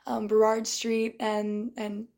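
A woman's voice: on the high side, completely flat delivery, darkish tone. Woman with a tired, strained voice. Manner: halting, with many restarts and throat clearing. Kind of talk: casual reflective monologue.

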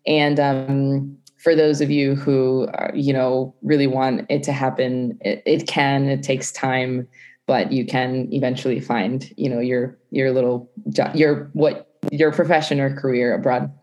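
A woman's voice: very deep voice, flat delivery, measured pace, darkish tone. A young woman speaking on a panel in a chatty, rambling way, often correcting herself and laughing. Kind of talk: casual panel talk. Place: living in Portugal.

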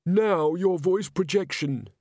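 A man's voice: high-pitched, slow pace, darkish tone, no room sound, clean studio sound, pitched above the performer's own voice, full character voice. A man voicing a patronizing opera auditioner. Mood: taken aback. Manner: drawling, patronizing. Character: condescending unseen opera auditioner, male.

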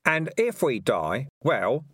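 A battle-scarred rat chief rallies his clan in a loud, emphatic, rousing voice, as a man acts him out. He speaks a little higher than a plain male voice. The storybook sound is close and dry. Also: very animated, slow, breathy edge, no room sound, full character voice.

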